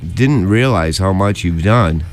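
A man with a Boston accent hosting a casual radio interview, relaxed and chatty, laughing easily. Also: low voice, a little slow. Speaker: radio show host.

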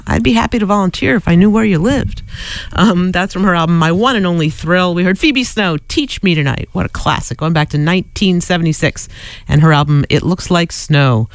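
Smooth-voiced man, radio DJ. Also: sits high, a little fast, no echo, low-quality recording. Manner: relaxed, joking asides between song credits. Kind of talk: casual back-announcing of songs.

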